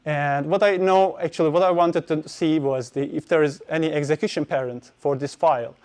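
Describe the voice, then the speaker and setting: A man's voice: a little high, fairly even intonation, a little fast, a little mellow. A man giving a technical conference talk, hesitant, with many fillers and restarts. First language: Turkish. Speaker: conference speaker.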